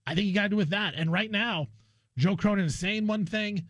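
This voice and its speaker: A man's voice: sits high, brisk, a bit bright, no room sound. A man hosting a sports radio show, giving his opinions in casual conversational talk.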